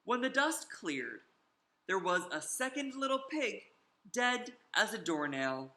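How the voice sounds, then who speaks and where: Animated, bright-voiced storyteller, slow and theatrical. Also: mid-range voice, roomy sound. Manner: theatrical, drawn-out emphasis, invites listeners to join in. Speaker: storyteller reading a picture book aloud to children. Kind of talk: animated children's story reading, performed in first person.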